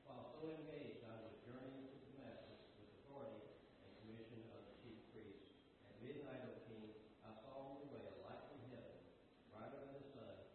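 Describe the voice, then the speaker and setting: A man in a class reading a long passage of scripture aloud at a steady pace, with a few small slips and restarts. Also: medium pitch. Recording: distant roomy echo, tinny, noisy.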